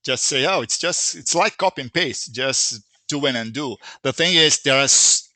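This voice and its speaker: A man's voice: mid-range voice, bright, forceful tone, no room sound. A man with a Brazilian Portuguese accent, a native Portuguese speaker, earnestly answering user questions at length with frequent restarts. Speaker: software company founder answering user questions. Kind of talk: live Q&A explanation.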